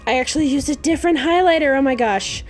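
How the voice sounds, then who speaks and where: A woman's voice: sits high, fast, soft background music. A woman narrating a casual makeup tutorial in a playful, gushing, informal way.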